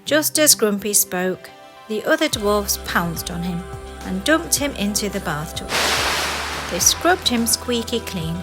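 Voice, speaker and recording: A woman narrating a scripted children's fairy tale in an expressive, deliberate way. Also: mid-range voice, loud music behind the voice. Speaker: storyteller.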